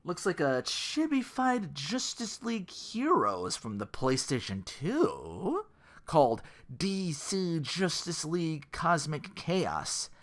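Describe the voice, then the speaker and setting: A man's voice: a little high, wildly swooping pitch, takes it slowly, fairly bright tone. A man hosting a gaming podcast in a casual, rambling, slangy monologue, often acting out imagined quotes. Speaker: podcast host.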